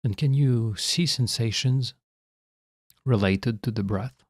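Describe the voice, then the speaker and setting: A man leading a guided meditation as a guide, speaking in a calm, measured, instructional way with long pauses. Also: medium pitch, dry recording, studio quality.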